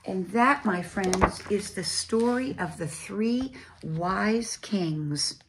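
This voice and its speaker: A woman reading a storybook aloud to children as a church storyteller, with deliberate, dramatic phrasing and pauses. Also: medium pitch, slight background noise, low-quality recording.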